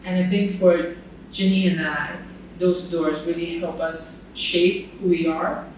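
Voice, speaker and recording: A man's voice: a little high, slow pace, far from the mic. A bilingual man, raised on Thai and English, co-hosts an educational podcast in an easygoing, thoughtful manner. He sits some way from the mic in a very echoey room. A light hiss of noise sits under his voice. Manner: relaxed, thoughtful, with small restarts. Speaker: podcast co-host and tutor. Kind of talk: casual podcast chat.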